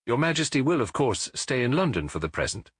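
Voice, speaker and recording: A man's voice: medium pitch, sing-song, fairly quick, husky, no room sound, studio quality. A man voicing a clever, nonchalant Victorian detective in a storybook, urbane, precise and breezy. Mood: nonchalant, brisk. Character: brilliant, nonchalant Victorian male detective, brisk and amused.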